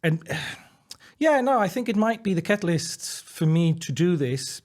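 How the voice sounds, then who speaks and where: A man's voice: high-pitched, very animated, unhurried, darkish tone. A Dutch-speaking man, a guest in a casual interview, who talks earnestly and encouragingly. He often restarts his sentences and repeats words as he thinks aloud, and drops in light laughs between his points. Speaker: interview guest, dyslexia educator.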